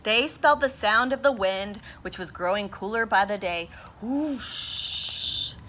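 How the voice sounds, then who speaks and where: A woman's voice: medium pitch, lively intonation, takes it slowly, pushed and bright, slight background noise. A woman teacher reading a picture book aloud to her class, sounding out and spelling words letter by letter.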